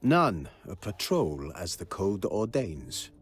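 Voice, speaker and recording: An actor playing a stern, proud army quartermaster in a fantasy video game, speaking formally and precisely in a husky voice. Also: male voice, low-pitched, big pitch swings, slow, heavily acted. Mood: stern, businesslike.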